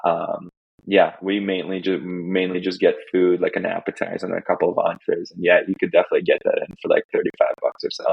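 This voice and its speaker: A man's voice: low voice, monotone, fast talker, creaky, slightly rough, dry recording, muffled recording. A man from Estonia whose first language is Russian, a podcast guest chatting casually with many fillers and restarts. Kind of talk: casual interview chat.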